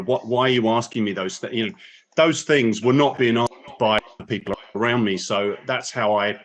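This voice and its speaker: A man speaking as a guest at a career meetup, reflective, with many restarts and fillers. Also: on the low side. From not from New Zealand. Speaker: guest speaker, business analyst. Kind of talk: casual panel talk. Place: New Zealand.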